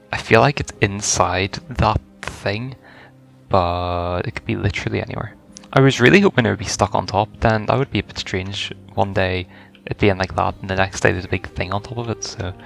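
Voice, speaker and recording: A man's voice: fairly low pitch, moderate pace, creaky, faint music behind. A man giving casual first-reaction commentary on a video game, rambling and thinking aloud as he goes. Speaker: gaming video host.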